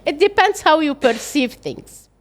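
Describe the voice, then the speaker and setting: A woman's voice: sits high, animated. An older woman with an Arabic accent, a native Arabic speaker, talking as an interview guest in an earnest way, often stopping and restarting her sentences. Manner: earnest, halting with frequent restarts. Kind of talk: reflective interview conversation.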